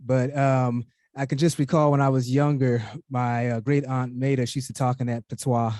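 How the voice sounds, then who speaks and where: A man hosting a music interview in a casual, measured way, pausing as he goes. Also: mid-range voice, level tone, darkish tone, dry recording, studio quality. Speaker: music show host.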